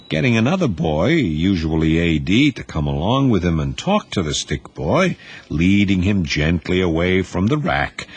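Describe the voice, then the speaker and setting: Low husky male storyteller. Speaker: narrator. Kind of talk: scripted audiobook narration.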